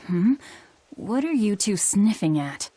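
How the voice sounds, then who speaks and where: A woman's voice: medium pitch, big pitch swings, takes it slowly, dark tone, a little hiss or hum. A woman voicing a blunt, calculating female fighter with a curt, no-nonsense manner in a video game, over music. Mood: wry. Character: blunt, hard-nosed, calculating female pirate-crew fighter.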